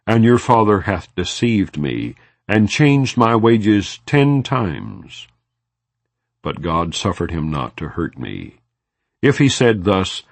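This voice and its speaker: Deep-voiced man reading scripture. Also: dry recording. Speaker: Bible reader.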